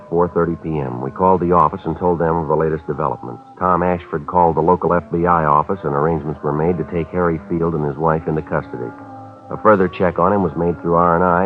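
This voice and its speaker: An actor playing a terse, matter-of-fact police sergeant who narrates his case in a clipped, deadpan way. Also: low voice, close to an everyday voice. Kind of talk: 1950s radio drama acting. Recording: tinny old radio with faint music.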